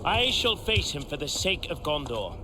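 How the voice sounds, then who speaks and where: A defiant captain calls out in a high, highly animated voice. He is valiant and dramatic, a fantasy game soldier amid loud noise. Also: normal speed. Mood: defiant, rallying. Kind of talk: dramatic fantasy battle acting. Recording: loud battle noise behind.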